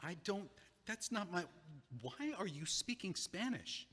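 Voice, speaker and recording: A man's voice: sits high, very animated, measured pace, fairly bright tone, exaggerated voice. An actor in his thirties playing a heartbroken, self-pitying lawyer who stammers and pleads, in an audio play. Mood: defensive. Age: 30s. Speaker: estate lawyer. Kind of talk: stage audio play acting. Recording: long hall reverb.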